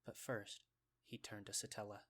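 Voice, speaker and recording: A man reading scripted story narration, with a measured, descriptive storytelling delivery. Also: fairly low pitch, little pitch movement, bright, forceful tone.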